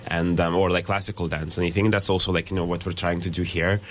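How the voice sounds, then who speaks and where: A young man from Lithuania, a guest in a casual radio interview, talking in loose animated phrases with many fillers. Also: deep voice, fast talker, slight background noise. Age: young adult.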